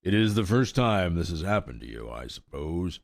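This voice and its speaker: A man voicing a sorrowful, resigned old mare in a storybook reading, speaking slowly with sighs. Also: sits low, big pitch swings, creaky, no room sound, studio quality, heavily acted. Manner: slow, sighing, world-weary.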